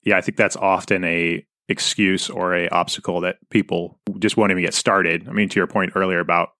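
A man's voice: deep voice, flat delivery, brisk, sharp tone, no echo, studio quality. A man hosting a podcast interview, engaged with his guest and adding his own stories. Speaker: podcast host. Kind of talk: conversational interview.

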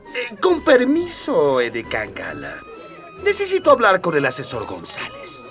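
An actor playing a pompous, scheming old prime minister in a radio drama, speaking with formal, ceremonious manners. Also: male voice, sits high, wildly swooping pitch, distant mic, music in the background, exaggerated voice. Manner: formal, ceremonious, slow-spoken, throat-clearing.

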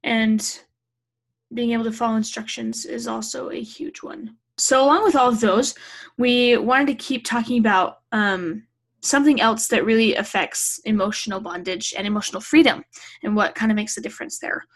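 A young woman co-hosting a casual chat, chiming in with quick, relaxed remarks. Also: on the high side. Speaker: podcast co-host.

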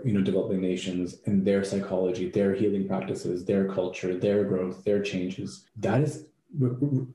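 A man's voice: on the low side, dark tone, a little hiss or hum. A man from Canada, a psychotherapist, talking earnestly and thoughtfully as an interview guest, often restarting his words. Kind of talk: reflective interview talk. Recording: echoey room.

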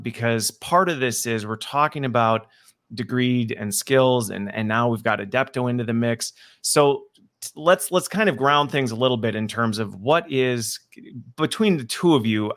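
Chatty male host for a live video call, bright tone. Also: medium pitch. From American Midwest. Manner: upbeat, repeats okay and all right often. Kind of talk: casual live webinar chat.

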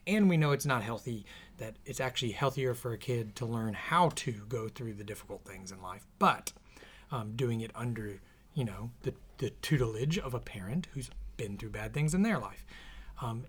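A man talks through ideas on his podcast in an earnest, reflective way. His voice is a little scratchy and sits at a medium pitch. His sentences often trail off softly. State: slightly scratchy voice. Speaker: podcast host and author. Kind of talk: casual podcast conversation. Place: in the same room as co-host.

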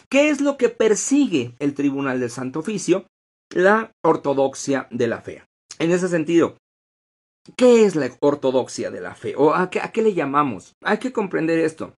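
A man hosting a live-streamed history talk, lecturing conversationally with rhetorical questions and frequent fillers. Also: a little high.

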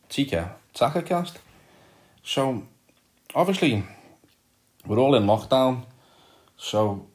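A man's voice: lowish voice, darkish tone. A man with a Liverpool accent hosting his own podcast, chatting casually and rambling with frequent ums and repeated words. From Liverpool, England. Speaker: podcast host. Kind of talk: casual solo podcast talk.

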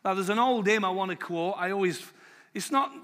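A man preaching a sermon in a loud voice, slowing down to read scripture with emphasis. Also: sits high, long reverb tail.

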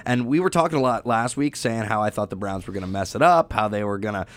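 A man's voice: lowish voice, lively intonation, quick pace. A man talking football in a casual debate, rambling and often restarting his sentences. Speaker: sports podcast co-host.

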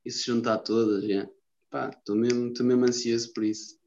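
A Lisbon-accented man in loose, rambling chat, heavy with slang and swearing. His voice sits at an ordinary pitch. The recording is dull and lo-fi. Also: level tone, normal speed, creaky, a little mellow. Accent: Lisbon Portuguese. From Lisbon, Portugal. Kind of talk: casual chat. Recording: dull lo-fi sound.

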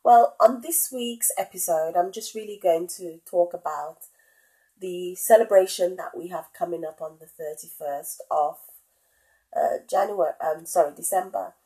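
A middle-aged woman hosting her own podcast, talking casually with a head cold and many pauses and ums. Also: fairly low pitch, mellow, rough recording. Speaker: podcast host. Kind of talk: casual solo podcast talk.

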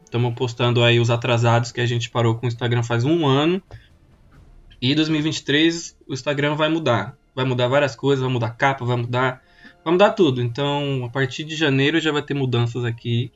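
A man co-hosting a podcast, lively and bossy with his friends in casual chat. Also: mid-range voice, a little fast, lo-fi sound, faint music behind. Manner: lively, bossy ringleader of the group. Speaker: podcast co-host.

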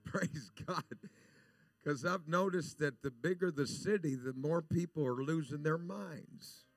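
A man's voice: fairly high pitch, measured pace, soft background music. A man, a guest preacher whose first language is Spanish, delivering a Pentecostal sermon and dropping "amen" and "praise God" between his sentences. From El Salvador.

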